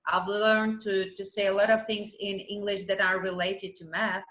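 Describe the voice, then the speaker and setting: A woman's voice: mid-range voice, level tone, moderate pace, a bit bright. A woman in her twenties from Albania with an Albanian accent, answering interview questions conversationally in a second language, repeating short phrases for emphasis. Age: 20s. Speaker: interview guest, math teacher. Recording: roomy, echoing sound.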